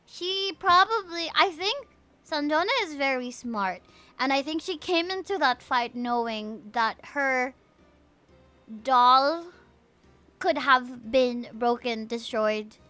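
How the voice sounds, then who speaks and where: A woman livestreaming a game and chatting with viewers in an animated, emphatic, gushing way, with music behind her. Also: high voice, takes it slowly, dry recording. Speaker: game streamer. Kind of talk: livestream chat reacting to a game.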